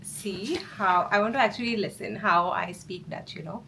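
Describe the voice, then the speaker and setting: Casual female lifestyle vlogger, mid-pitched voice, chatty and informal, with noticeable background noise in the recording. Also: moderate pace, pushed and bright. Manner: informal, chatty, frequent "like" as filler. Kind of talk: casual vlog chat.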